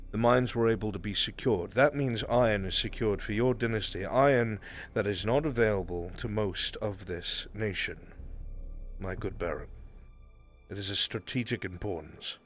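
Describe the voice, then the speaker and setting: A man playing a proud, dutiful military lord in a fantasy roleplay, speaking in formal, measured, deferential phrases. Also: lowish voice, natural voice. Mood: earnest, insistent.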